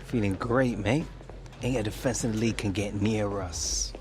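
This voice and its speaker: An actor playing a cocky, keen young footballer with an English accent and matey, slangy speech in a game cutscene. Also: male voice, fairly low pitch, moderate pace, noisy background, natural voice. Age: young adult. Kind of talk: video game cutscene acting. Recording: music behind the voice.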